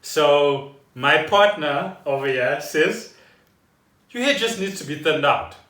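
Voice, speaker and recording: A man's voice: fairly high pitch, expressive, slow pace, sharp tone. A man chatting casually as a podcast co-host, explaining things in a rambling, storytelling way in a living room. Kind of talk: casual chat. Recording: roomy echo, distant from the mic.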